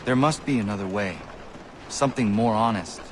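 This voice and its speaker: A man's voice: lowish voice, normal speed, noisy background, lightly acted. An actor playing an earnest, principled young male warrior in a colonial-era video game, speaking formally and bluntly. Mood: troubled, earnest.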